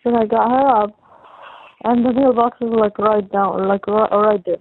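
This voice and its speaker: A young adult woman chatting casually on a phone call, talking in a halting, rambling, repetitive way. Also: mid-range voice, little pitch movement. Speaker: caller talking with partner. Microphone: telephone call. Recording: tinny phone line with faint noise.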